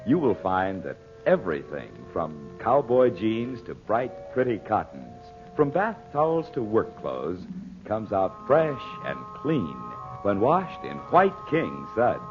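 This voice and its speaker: Animated American vintage radio announcer, male. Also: lowish voice, slow pace. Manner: polished, persuasive. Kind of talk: 1940s radio commercial and announcing. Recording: muffled 1940s radio recording with music. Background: music.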